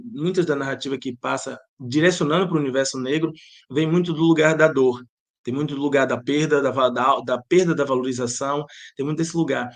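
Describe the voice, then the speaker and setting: A man from Bahia with an accent mixing Bahian and European Portuguese, a playwright and director thoughtfully answering interview questions, often restarting his sentences. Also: on the high side. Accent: Bahian Portuguese mixed with European Portuguese. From Bahia, Brazil. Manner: thoughtful, searching for words with many restarts.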